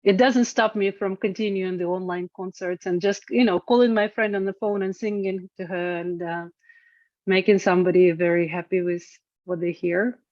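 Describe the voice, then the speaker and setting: A woman talks through her thoughts slowly and reflectively, pausing to find the right words. The recording is dry with no room sound and has a dull, lo-fi tone. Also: lowish voice, steady tone, a little mellow, no echo. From Eastern Europe. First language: Russian. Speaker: guest, singer-songwriter. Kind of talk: casual interview talk.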